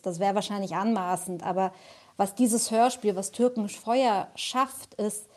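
Earnest, mid-pitched woman reflecting. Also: darkish tone. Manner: earnest, searching, deliberate phrasing. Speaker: jury member. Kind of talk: reflective spoken tribute.

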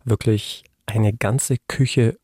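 Mellow, low-voiced man explaining cases. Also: fairly even intonation, drawn-out words, no room sound, clean studio sound. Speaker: true-crime podcast expert. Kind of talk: conversational case explanation.

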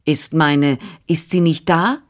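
A woman's voice: deep voice, slow. A woman reading the part of a father who speaks curtly and directly. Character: grown man, a father, curt and practical.

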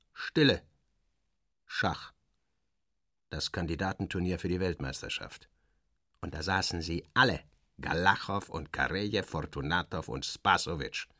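A man reading a literary satire as a dramatic audiobook narrator, expressive and theatrical, acting out the dialogue. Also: fairly low pitch, vocal fry, no echo, studio quality.

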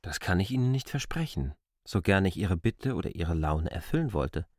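An actor playing an insistent, polite but stubborn man in a literary radio drama, speaking formally and deliberately as he argues his case. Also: deep voice, sing-song, darkish tone, no echo, studio quality. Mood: polite refusal.

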